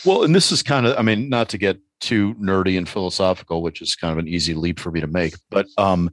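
A man's voice: sits low, quick pace. A middle-aged man hosting a podcast, talking casually in long, rambling, philosophical asides. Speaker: podcast host.

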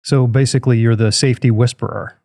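A man hosting a podcast who opens with a polished introduction and then moves into relaxed questions. His voice is mellow and warm in tone. The sound is close and dry. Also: mid-range voice, quick pace, no echo. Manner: friendly, scripted intro then easy conversational questions. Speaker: podcast host. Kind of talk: podcast interviewing.

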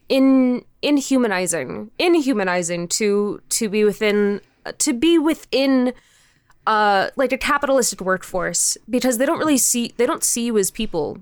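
Smooth, clear-voiced woman answering thoughtfully. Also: mid-range voice, dry recording. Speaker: interview guest, indie audio drama creator. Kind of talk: casual conversational interview answers.

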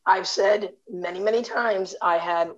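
Hoarse raspy woman hosting over video call. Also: medium pitch, a little slow, highs cut off. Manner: chatty, laughs often. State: hoarse from laryngitis. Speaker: podcast host. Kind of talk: casual chat.

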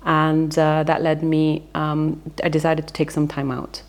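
Lady in her 30s w a low flat voice, chatting candid about her career, lots of ums. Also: unhurried. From England. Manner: candid, storytelling, frequent fillers. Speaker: interviewee, company founder. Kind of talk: casual interview talk.

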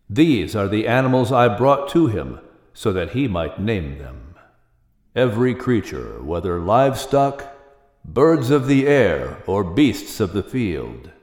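A man's voice: lowish voice, darkish tone, reverberant, in a large hall, pitched below the performer's own voice. A man voicing God in an audiobook with a grave, solemn manner. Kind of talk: audiobook character reading.

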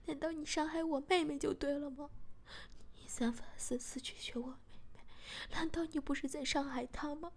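A woman's voice: sits high, expressive, normal speed, creaky, pitched above the performer's own voice. A woman voicing a frightened but defiant young female captive in a whispered storybook reading. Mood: tense, pleading.

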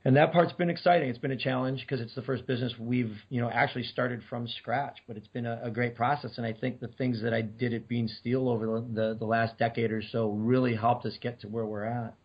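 A middle-aged man from Detroit, hoarse and scratchy from a cold, answering interview questions with many fillers. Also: medium pitch, a little fast, hardly any pauses. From Detroit, Michigan. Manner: relaxed, many uh fillers and restarts. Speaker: guest business owner. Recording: dull lo-fi remote audio.

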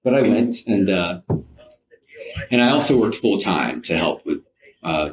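A man's voice: deep voice, expressive, a little slow, poor audio. A middle-aged man, telling his own story conversationally and modestly as an interview guest. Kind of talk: casual personal storytelling in an interview.

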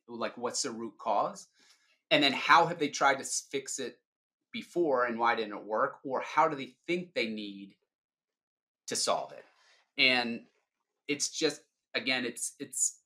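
A middle-aged man speaks as an interview guest with a bright, forceful voice at an ordinary pitch. He explains his points informally, often setting up a question before answering it. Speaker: sales leader, podcast guest.

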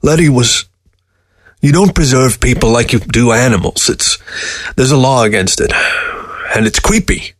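A man voicing a weary, grumbling old taxidermist in a story, gruff and defensive in manner. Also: mid-range voice, lively intonation, normal speed, pauses often, voice pushed up, lightly acted.

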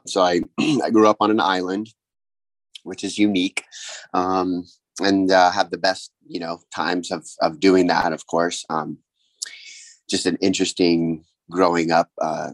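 A man from Hawaii, a guest on a podcast, talking about his life in a hesitant, reflective way with many pauses and restarts. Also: sits low, level tone, no echo, poor audio. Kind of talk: casual interview.

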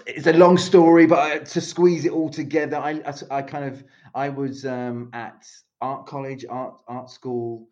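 A man's voice: medium pitch, level tone, a little slow, few pauses, darkish tone, dull audio. A middle-aged British man from London, a speaking coach, chatting as a podcast guest over a video call and rambling with restarts. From England. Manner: rambling, many restarts and self-corrections. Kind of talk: casual interview chat over video call.

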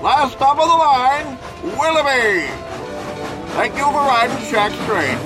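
High-voiced train conductor calling out. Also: male voice, takes it slowly, loud music behind the voice. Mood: announcing. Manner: loud, projected calling.

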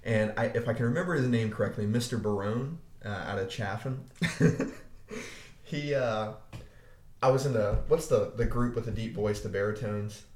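A young man with a deep voice, low in pitch, talking in a relaxed way. He circles back and repeats himself as the stories unfold. The sound is roomy, with a clear echo. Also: moderate pace. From Arkansas, USA. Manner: laid-back storytelling, frequent restarts and swearing. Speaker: podcast co-host. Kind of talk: casual chat. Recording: echoey room.

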